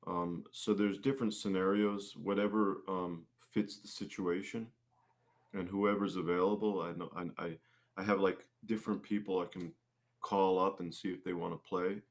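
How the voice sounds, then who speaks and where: A man with a low-pitched voice chats casually as a guest in an interview. He speaks hesitantly, often doubling back to repeat or correct a phrase. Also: little pitch movement, moderate pace. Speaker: guest, singer-songwriter and musician.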